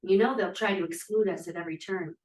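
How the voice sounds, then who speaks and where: A woman's voice: fairly low pitch, fast, darkish tone, lightly acted. Outspoken 1940s young feminist woman actor, echoey room. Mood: defiant. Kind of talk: script read-through acting. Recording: distant, echoey room microphone.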